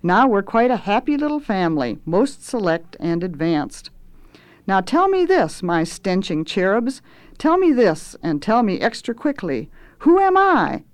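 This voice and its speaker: A woman's voice: mid-range voice, sing-song, a little slow, no echo. A woman voicing a bloated, drunken male head cook in a storybook, bombastic and wheedling as they relish every insult. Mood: gloating, commanding. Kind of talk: dramatic storybook reading.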